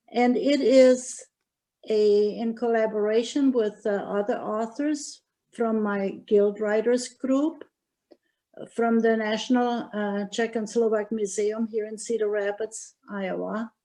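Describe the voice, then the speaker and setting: An older woman with a German accent, an author telling her wartime memories in an interview, speaking haltingly with many pauses. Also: mid-range voice, gravelly, mellow. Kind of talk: interview, recounting memoir.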